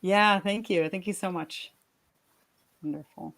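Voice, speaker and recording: A woman's voice: lowish voice, measured pace, a bit bright. A woman co-hosting a live book reading, chatting casually in an encouraging, giggly way. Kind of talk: casual group chat.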